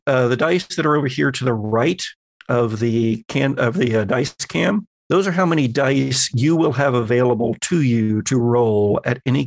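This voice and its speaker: A man acting as game master, explaining tabletop game rules in a measured, conversational, lightly playful way. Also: mid-range voice, vocal fry, no echo.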